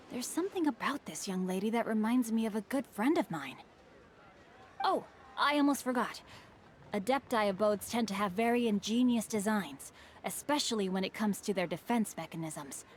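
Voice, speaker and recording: A woman's voice: medium pitch, a little hiss or hum, natural voice. A woman voicing a courteous, bookish young man in a video game, speaking formally and eloquently over music. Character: refined, bookish young male guild heir, courteous. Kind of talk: video game character acting. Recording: music behind the voice.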